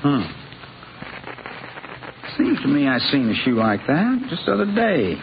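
A man's voice: fairly high pitch, very animated, unhurried, gravelly, full character voice. A man playing an eager, friendly, simple small-town blacksmith in a 1950s radio western, with a folksy, rambling American way of speaking. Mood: eager, helpful. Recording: tinny, faintly hissy 1950s radio recording.

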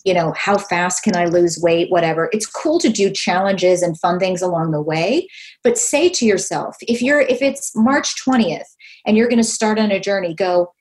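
A woman's voice: lowish voice, normal speed. A woman in her fifties hosting a podcast, talking with enthusiasm and acting out quotes in casual chat. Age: 50s. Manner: enthusiastic, animated, quotes herself and others. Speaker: podcast host.

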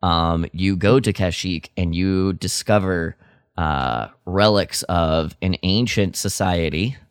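A man's voice: low-pitched, slow pace, clear, resonant tone, no room sound, clean studio sound. A man co-hosting a podcast, chatting casually with a wry, joking streak. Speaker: podcast co-host. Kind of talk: casual chat about Star Wars lore.

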